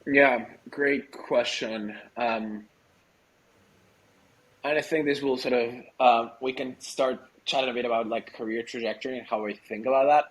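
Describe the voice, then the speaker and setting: A man's voice: mid-range voice, fairly even intonation, normal speed, low-quality recording. Informal man from Argentina, native Spanish speaker. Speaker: podcast guest, startup growth executive. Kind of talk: casual interview conversation.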